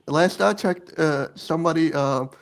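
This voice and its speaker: A man's voice: a little high, normal speed, creaky. A man chatting on a live stream, playful and teasing in his banter. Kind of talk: casual chat on a live stream.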